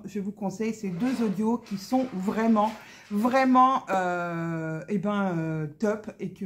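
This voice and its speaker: A woman giving a casual, enthusiastic spoken audiobook review, emphatic and often pausing to search for her words. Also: fairly high pitch, a little mellow. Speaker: book reviewer.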